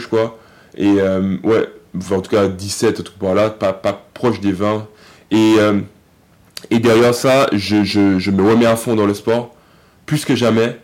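A man, an athlete guest in a casual podcast interview, talking in a halting way with many fillers and restarts. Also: lowish voice, darkish tone.

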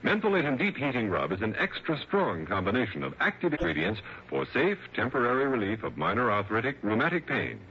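Husky, swooping male radio announcer. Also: mid-range voice, huge pitch swings, brisk, few pauses. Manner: emphatic salesman delivery. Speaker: commercial announcer. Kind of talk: scripted 1960s radio advertisement read. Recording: muffled vintage radio recording.

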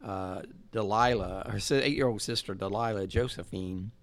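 A man's voice: lowish voice, normal speed, no echo. A man hosting a podcast, telling a true crime story in casual chat, rambling with fillers and laughs.

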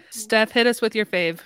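A woman's voice: on the high side, a bit bright. A woman hosting a casual podcast, chatting informally with the other speakers. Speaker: podcast host.

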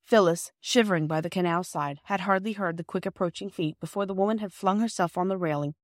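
A woman's voice: on the low side, fast, dry recording, clean studio sound. A woman narrating a children's storybook from a script. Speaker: audiobook narrator.

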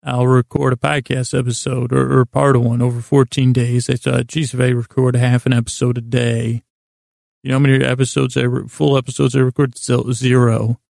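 A middle-aged American man hosting a sleep podcast, rambling in a gravelly voice with halting, self-deprecating detours that trail off and circle back. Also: medium pitch, level tone, darkish tone, dry recording, clean studio sound. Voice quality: gravelly, creaky. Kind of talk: rambling, meandering bedtime monologue.

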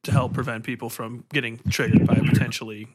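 Medium-pitched man hosting a casual interview. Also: level tone, very noisy. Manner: informal, halting phrasing. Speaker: podcast host, interviewer.

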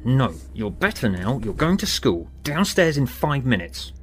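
Man acting angry dad, loud, raised pitch, curt, barking, bullying, storybook character. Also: sing-song, a little slow, faint music behind. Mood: furious. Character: furious, bullying father barking orders at his son.

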